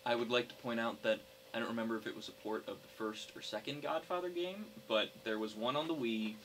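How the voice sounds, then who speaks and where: A young man chatting casually about games as a podcast co-host, explaining things in a halting, hesitant way. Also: medium pitch, a bit bright. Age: young adult. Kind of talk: casual gaming chat among friends. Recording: noisy hobby podcast recording.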